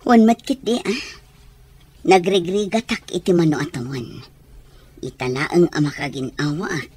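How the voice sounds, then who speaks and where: A woman playing a resentful, defensive mother in a radio drama, with a curt, scolding manner and husky voice. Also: fairly low pitch, normal speed, a little mellow. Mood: defensive, weary. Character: irritable, resentful mother who refuses her abandoned family.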